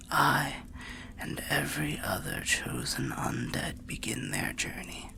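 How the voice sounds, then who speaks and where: A man voicing a weary, kindly old undead warrior who rambles gently in a breathy, gravelly half-whisper, like a fantasy ASMR storyteller. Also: medium pitch, heavily acted. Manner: slow, rambling, archaic, gently cautionary storyteller. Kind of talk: ASMR fantasy roleplay monologue.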